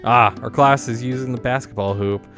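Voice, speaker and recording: A man's voice: mid-range voice, normal speed, a bit bright, soft background music, close to an everyday voice. Man reading as a playful, eager teenage schoolgirl. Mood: eager, teasing.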